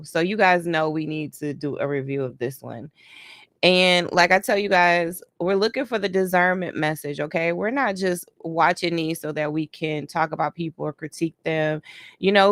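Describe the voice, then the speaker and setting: A woman's voice: on the low side, no echo. A woman from Detroit who grew up in Germany, chatting casually to her viewers with lots of "you know" and "right". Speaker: reaction vlogger. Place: home, doing a facial on camera.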